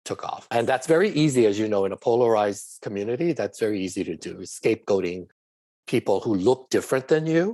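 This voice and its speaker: A man's voice: fairly low pitch, animated, very fast, mellow, no echo. A middle-aged man from Hawaii, a podcast guest, explaining his views at length in an earnest, conversational way. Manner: earnest, explanatory, speaks in long flowing runs. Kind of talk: conversational interview.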